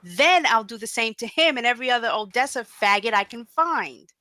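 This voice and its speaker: A woman's voice: fairly high pitch, animated, brisk, pushed and bright, no room sound. A woman at a screenplay table read playing a captive action hero, defiant, foul-mouthed and mockingly sarcastic. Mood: menacing. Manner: taunting, sarcastic, profane.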